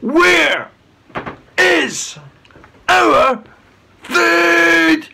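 A man voicing a bad-tempered, brutish Viking bully who barks and booms, in a children's storybook reading. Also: very slow, gravelly, slight background noise, heavily acted. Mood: angry. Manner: barking, shouting. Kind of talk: children's storybook read-aloud.

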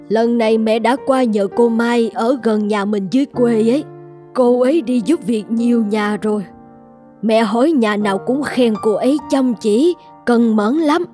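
A woman's voice: on the high side, warm tone, close to an everyday voice. A woman voicing a kindly, insistent elderly grandmother in a cartoon, advising and reassuring. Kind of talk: cartoon dubbing.

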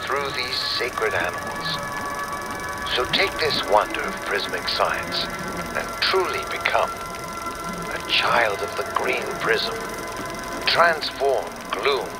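An actor playing a serene, grandiose cult founder giving a scripted, salesmanlike address in a game. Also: male voice, lowish voice, expressive, takes it slowly, low-quality recording. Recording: music behind the voice. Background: music.

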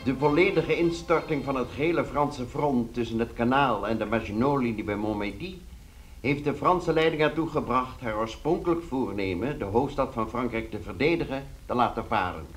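Brief: an actor as a measured wartime radio news announcer, mid-pitched, formal delivery. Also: male voice, a little hiss or hum, lightly acted. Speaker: radio newsreader. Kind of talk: 1970s-80s radio drama acting.

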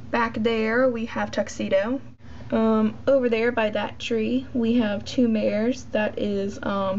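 Teen girl slowly rambling thru a toy barn tour, lots of ums, dull camera mic w/ faint hiss. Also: medium pitch, a little mellow. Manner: rambling, many ums, self-deprecating. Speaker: model horse collector giving a tour. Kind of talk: casual filmed tour narration. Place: indoors at a tabletop toy barn. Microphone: handheld camera mic.

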